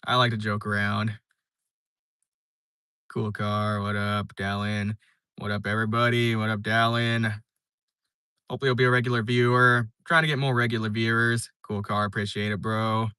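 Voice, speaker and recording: A man's voice: on the low side, little pitch movement, normal speed, sharp tone, smooth, no echo, clean studio sound. A young man live streaming, chatting casually and reading viewer comments, rambling with lots of fillers and restarts. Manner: laid-back, rambling, many fillers and restarts.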